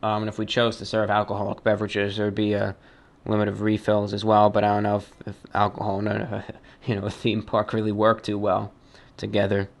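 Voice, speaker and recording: A man hosting a fan mail show, talking off the cuff and reading aloud in a deliberate, measured, slightly formal way. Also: fairly low pitch, completely flat delivery, vocal fry. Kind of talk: unscripted talk, reading and answering listener mail.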